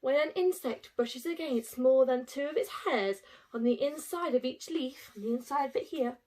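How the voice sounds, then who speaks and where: Casting: woman, higher-pitched voice, primary teacher doing an expressive read-aloud for young pupils with simple asides. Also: normal speed. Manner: addresses young children, explaining simply with rhetorical tag questions. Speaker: infant school teacher reading to pupils.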